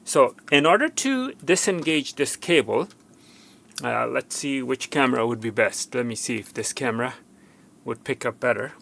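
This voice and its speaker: A man's voice: mid-range voice, expressive, normal speed, gravelly, sharp tone. A man giving an unscripted hands-on tutorial as a repair technician, instructive and cautioning, often repeating himself for emphasis.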